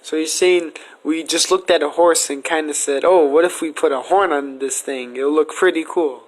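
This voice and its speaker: A man's voice: fairly high pitch, normal speed, rough recording. A man asking questions in a casual, conversational interview style. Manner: informal, conversational.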